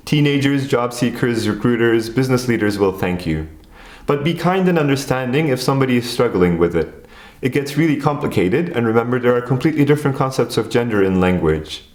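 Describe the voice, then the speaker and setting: A man from Turkey, a native Turkish speaker, calmly narrating a scripted explainer video. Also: medium pitch, echoey room. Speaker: video essay host.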